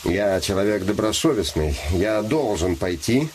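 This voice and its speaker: An actor playing an earnest, idealistic young scholar in a theatrical audio play, speaking deliberately and sincerely. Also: male voice, fairly low pitch, lively intonation, a little mellow, slight background noise. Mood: calm, resolute. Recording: music playing behind the dialogue.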